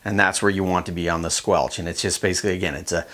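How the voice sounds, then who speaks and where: A man's voice: low voice, steady tone, rapid-fire, fairly bright tone. A man giving a casual, plain-spoken instructional demonstration in a conversational way. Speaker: kayak fishing instructor.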